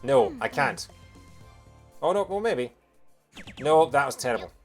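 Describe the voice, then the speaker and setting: A man's voice: medium pitch, a little slow. Scottish man chatting over game music. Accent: soft Scottish. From Bothwell, Lanarkshire, Scotland. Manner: jokey, punning, animated when reacting to the game. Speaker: video game streamer. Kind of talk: casual live gameplay commentary with chat.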